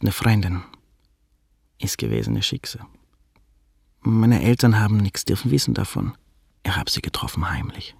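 Radio drama, subdued young man with Yiddish accent, husky, very dry close recording. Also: on the low side, dry recording, exaggerated voice. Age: young adult. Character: young man in secret love, subdued and hesitant. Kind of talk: radio drama acting, dialogue and first-person narration.

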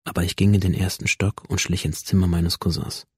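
A man's voice: low-pitched, little pitch movement, fairly quick, a little mellow, slightly rough, no echo, clean studio sound. An actor playing a bitter, withdrawn young man who recounts his past to a doctor in a matter-of-fact, understated way in a radio drama. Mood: brooding, detached. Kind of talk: radio drama, introspective first-person narration.

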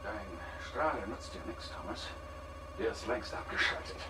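A man's voice: lowish voice, normal speed, natural voice. An actor playing an agitated, cornered male astronaut in a science fiction radio drama. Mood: defiant, agitated. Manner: urgent, agitated. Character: cornered male astronaut, pleading and scornfully defiant. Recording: distant, faintly noisy.

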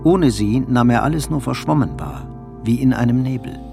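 Narrator for literary radio drama: man, creaky mid-range voice, measured scripted delivery over faint music. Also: mid-range voice. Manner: measured, storytelling.

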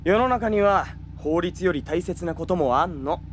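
A man playing a hot-headed police detective in a Japanese audio drama, talking in rough, cocky slang. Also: high-pitched, animated, normal speed, a little hiss or hum. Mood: defiant.

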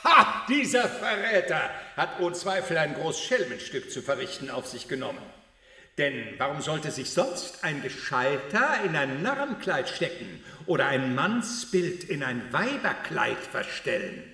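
An actor playing a ruthless, accusing military prosecutor from the Thirty Years' War, declaiming rhetorically in a radio play. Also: male voice, fairly high pitch, sing-song, unhurried, pushed and bright, far from the mic, full character voice. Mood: accusing, indignant. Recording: roomy, voice away from mic.

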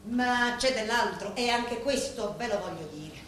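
A woman's voice: fairly high pitch, animated, measured pace, a bit bright. Echoey, proud Sicilian woman. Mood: solemn, calculating. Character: proud, determined young Sicilian woman bargaining with a crime boss. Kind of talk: theatrical monologue in a radio play. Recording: very echoey room, faint background noise.

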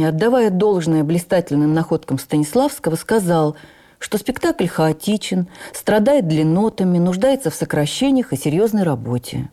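A woman reading a memoir for radio as an actress, with measured, expressive, theatrical storytelling. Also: lowish voice.

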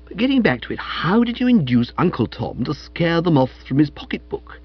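Man as foppish, chatty young English gent for comic storybook; highly expressive and florid, slightly muffled recording. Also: normal speed, breathy edge. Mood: breezy, boastful. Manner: breezy, florid, upper-class slang. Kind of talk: audiobook character voice.

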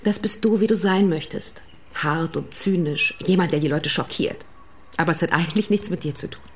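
Actress as low-voiced, weary writer's wife. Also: female voice, normal speed, close to an everyday voice. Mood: careful, evasive. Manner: evasive, then bluntly candid. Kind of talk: German radio play acting.

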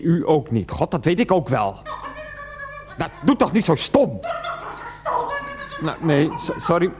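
A flustered grown son in a radio play. His pitch leaps about as he talks to his mother, pleading in halting, repeated bursts, exasperated and insistent. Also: noisy background. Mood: apologetic, exasperated.

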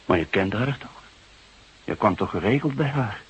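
Stern male detective, very husky, lowish pitch, probing, terse, crime drama interrogation. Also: lively intonation, normal speed. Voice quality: husky, gruff. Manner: probing, insistent, terse questioning. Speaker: police detective.

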